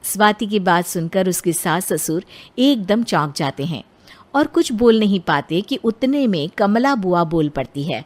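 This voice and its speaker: A woman's voice: on the low side, expressive, measured pace, a little mellow. A woman giving scripted narration for a cartoon story as its narrator.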